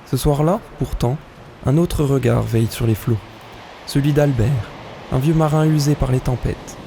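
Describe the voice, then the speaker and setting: Casting: male narrator, warm low-lit tone with vocal fry, solemn and unhurried poetic delivery for audio fiction. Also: slight background noise. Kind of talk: scripted storytelling narration.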